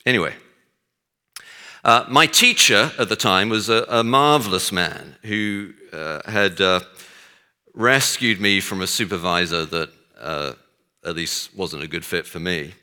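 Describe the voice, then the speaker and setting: A man's voice: sits low, measured pace, bright, forceful tone. Texan man speaking in a reverberant hall. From Texas. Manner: dry self-deprecating humor, frequent hesitations. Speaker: guest speaker. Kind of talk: speech to an audience.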